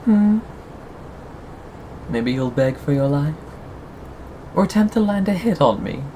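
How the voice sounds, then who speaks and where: A performer of unclear sex playing a sadistic, possessive cannibal captor in an ASMR roleplay, lingering on words with mock tenderness and soft menace. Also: medium pitch, expressive, measured pace, slight background noise, natural voice. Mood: teasing, mocking. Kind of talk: ASMR roleplay, scripted monologue to listener.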